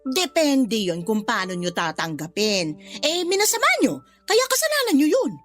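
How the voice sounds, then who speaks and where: A brazen, swooping Filipino aunt. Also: female voice, a little high, very animated, normal speed, fairly bright tone. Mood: defensive, indignant. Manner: blunt, brazen, swooping emphatic delivery. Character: tactless, sharp-tongued, defensive middle-aged aunt. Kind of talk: Filipino radio drama acting. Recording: music behind the voice.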